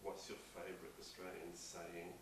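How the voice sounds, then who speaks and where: A man's voice: deep voice, monotone, normal speed. A man interviewing guests, asking plain, brief questions. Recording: roomy and distant with noise.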